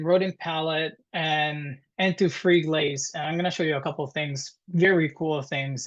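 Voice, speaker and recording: A man in his thirties with an Italian accent, presenting informally to a webinar in a halting, conversational way. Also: on the high side. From Italy. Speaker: guest presenter, dental technician. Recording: dull, muffled webinar audio.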